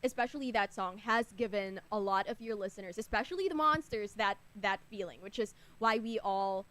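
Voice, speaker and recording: A woman's voice: medium pitch, a little fast, fairly bright tone. A woman hosting a radio interview in a studio, upbeat and engaged as she asks questions. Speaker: radio host.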